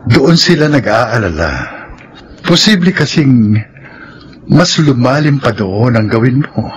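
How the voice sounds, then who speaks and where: Lively-toned, reassuring male psychiatrist. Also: mid-range voice, takes it slowly, a little hiss or hum. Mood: reasoning, cautionary. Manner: explanatory, reassuring. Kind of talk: radio drama acting.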